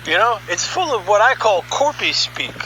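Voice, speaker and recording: A man with a fairly high-pitched voice tells a story in a conversational podcast style. He is wry and musing, leaning on key words and pausing for drama. There is clear noise behind his voice. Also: big pitch swings, bright, forceful tone, rough recording. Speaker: storyteller and voice session director.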